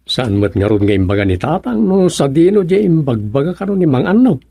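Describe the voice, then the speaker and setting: An actor playing an eager fisherman's son with a husky voice and an Ilocano accent in a radio drama comedy. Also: fairly high pitch, sing-song, a little fast, mellow, no room sound, full character voice. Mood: eager, explaining.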